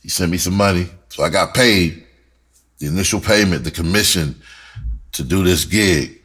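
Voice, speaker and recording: A man's voice: sits low, normal speed, a bit bright, slightly rough. A middle-aged American man telling a personal story casually and candidly, swearing freely and repeating words for emphasis. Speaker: rapper and writer telling their story.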